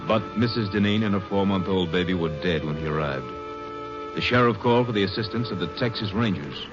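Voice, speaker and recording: A man's voice: low voice, a little fast. A man delivering scripted dramatic narration for a 1950s radio drama. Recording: muffled vintage radio recording, loud music.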